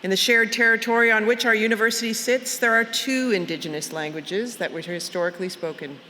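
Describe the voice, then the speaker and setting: A middle-aged woman with a bright, clear tone speaks in a measured, formal way as chancellor opening a university convocation. She talks at a podium microphone in an outdoor plaza. The sound carries a long reverb from the PA, with faint crowd ambience behind her. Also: on the high side, slight background noise. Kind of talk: formal ceremonial address.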